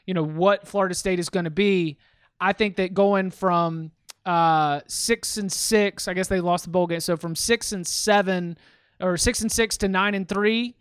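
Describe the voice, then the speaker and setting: A man's voice: high-pitched, fairly even intonation, unhurried, clean tone, dry recording, studio quality. A man hosting a sports podcast, talking things through casually and often restarting his words. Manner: rambling, repeats words while thinking.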